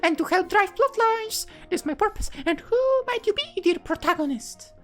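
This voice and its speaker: A man's voice: very high voice, expressive, normal speed, fairly bright tone, faint music behind, voice pushed up. A man voicing a bubbly, teasing anime schoolgirl love interest in a visual novel, chirpy and theatrical. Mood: cheerful, formal.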